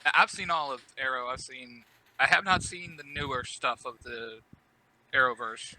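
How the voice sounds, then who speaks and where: A man's voice: mid-range voice, normal speed, bright, forceful tone, rough recording. A man chatting casually as a podcast guest, explaining things like a knowing fan. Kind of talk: casual chat.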